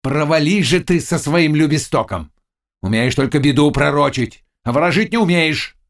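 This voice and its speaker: A man's voice: mid-range voice, lively intonation, moderate pace, creaky, pitched above the performer's own voice. A man voicing a fierce, lovesick Cossack chieftain in an audiobook, shifting between brooding mutters and anguished cries. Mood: impatient. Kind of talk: audiobook character dialogue.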